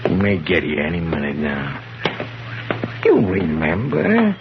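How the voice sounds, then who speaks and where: A man's voice: deep voice, wildly swooping pitch, a little slow, music in the background, full character voice. An American actor playing a simple, timid, devoted night watchman in a radio drama, speaking in a hesitant, childlike, plain way. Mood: fond, confiding. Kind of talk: 1950s radio drama acting. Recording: noisy, muffled vintage radio recording.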